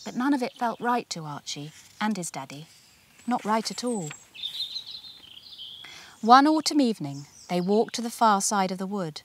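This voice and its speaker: Casting: female storyteller for picture books, medium pitch with lots of swoop, expressive and deliberate, dramatic emphasis. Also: a little hiss or hum. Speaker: author reading her own book. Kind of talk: expressive children's storybook narration.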